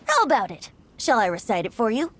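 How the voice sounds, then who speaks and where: A woman's voice: fairly high pitch, huge pitch swings, a little fast, fairly bright tone, slight background noise. A woman voicing a youthful male bard in a video game, playful and theatrical with flowery, lilting phrasing. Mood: musing, pleased. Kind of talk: animated video game dialogue and verse recital. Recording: faint music behind the voice.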